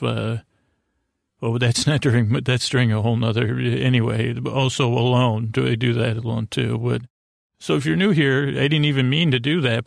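A man with a distinctly creaky, fry-laden voice delivers a bedtime podcast monologue. He meanders in a soothing way, breaking off and circling back through long-winded asides. The sound is dry, with very little room to it. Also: mid-range voice, no room sound. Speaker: podcast host.

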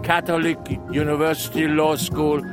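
An older man talks on a city street, proudly listing his credentials and circling back to repeat himself. His voice sits a bit high for a man. Loud street music plays right over him. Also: monotone, moderate pace. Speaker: passerby. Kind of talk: street interview.

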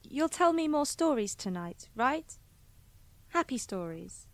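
A woman's voice: sits high, very animated, takes it slowly, full character voice. A woman voicing a curious, innocent little village girl in an audio drama, with a childlike, questioning way of talking. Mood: disappointed, pleading.

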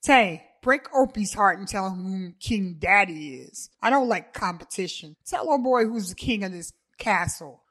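A woman's voice: medium pitch, normal speed, few pauses, sharp tone, close to an everyday voice. A woman reading the part of a brash, jealous ex-boyfriend who bellows and taunts. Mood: swaggering, taunting.

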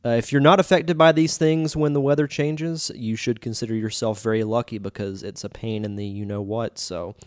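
A man's voice: medium pitch, steady tone, normal speed, hardly any pauses, dry recording, studio quality. A man hosting his podcast, talking in a chatty, enthusiastic way while congested with a sore throat. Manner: chatty, enthusiastic, with many fillers. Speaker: podcast host. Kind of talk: casual podcast monologue.

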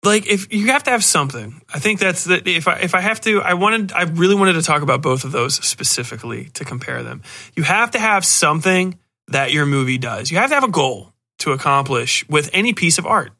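A man hosting a podcast, giving a casual, rambling, animated review and swearing freely. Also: a little high, a little fast. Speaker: podcast host.

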